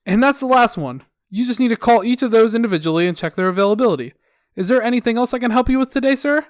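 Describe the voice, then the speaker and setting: Relentlessly positive phone rep, played by a male narrator, high pitch, over-polite, dry studio-close sound. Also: animated, fast talker, dry recording. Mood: sickly sweet. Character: relentlessly cheerful, sugary male customer service rep.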